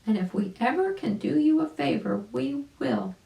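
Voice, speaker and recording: A woman voicing a brisk, motherly catbird from a children's storybook, eager and grateful. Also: high-pitched, lively intonation, vocal fry, dark tone, voice pushed up, exaggerated voice. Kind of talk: children's storybook read aloud. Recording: echoey room.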